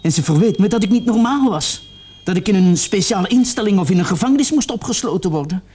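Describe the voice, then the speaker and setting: Distraught young man, husky and high. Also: lively intonation, dark tone. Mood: anguished, desperate. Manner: halting, repeating words as he confesses. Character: distraught young man confessing to a panicked killing. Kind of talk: radio play acting.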